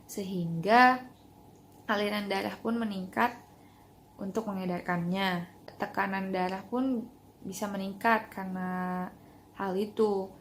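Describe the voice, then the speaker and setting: A woman's voice: medium pitch, fairly even intonation, a little slow, long pauses. A young woman, a student explaining in a class presentation. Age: young adult. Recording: clear background noise.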